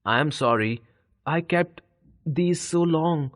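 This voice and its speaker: A man's voice: on the high side, takes it slowly, no room sound, studio quality. A man playing an easily alarmed, timid little schoolboy in a children's storybook. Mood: sheepish.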